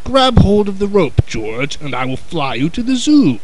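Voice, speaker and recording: Man acting a taunting, drawn-out kidnapper, high-pitched. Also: sing-song, noisy background. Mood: coaxing. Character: scheming man kidnapping a gorilla for a zoo.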